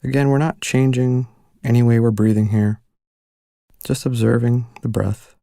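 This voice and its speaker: A man leading a guided meditation, giving calm, gentle instructions with unhurried pauses. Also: mid-range voice, creaky, no room sound, studio quality.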